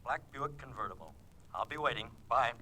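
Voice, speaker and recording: A courteous, hesitant stranger calling on the telephone in a 1940s radio play. His middle-pitched voice is bright and forward, captured on a vintage transcription. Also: animated, no echo. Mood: hesitant politeness. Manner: courteous, halting. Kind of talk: 1940s radio suspense acting.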